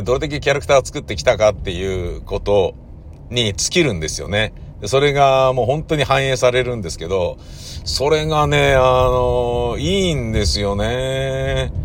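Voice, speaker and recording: A man's voice: medium pitch, a little hiss or hum. A man talking casually on his own as a radio drama writer and director, rambling and thinking aloud. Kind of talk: casual solo talk.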